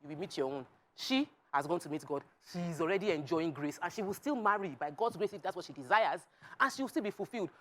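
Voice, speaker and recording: A Nigerian woman on a talk panel, speaking in a slightly low voice. She makes her points emphatically, with a preachy streak, and slips into Pidgin. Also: expressive, fast, hardly any pauses, a bit bright. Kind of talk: animated panel discussion.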